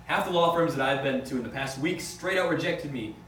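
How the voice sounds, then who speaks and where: A man acts out an accused businessman at a table read, quick in speech, worldly and resigned. The recording is roomy and distant, with his words stumbling now and then. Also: on the high side, fairly bright tone, lightly acted. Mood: businesslike. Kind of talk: amateur script table read. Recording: distant, echoey room with faint noise.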